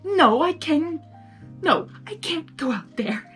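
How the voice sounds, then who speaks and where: A woman's voice: high voice, lively intonation, slow pace, fairly bright tone, soft background music, voice pushed up, full character voice. A woman voicing a dramatic male draconequus from a storybook, an insecure yet smug and lovestruck groom, with theatrical emphasis. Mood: anxious, pleading. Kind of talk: audiobook-style character reading.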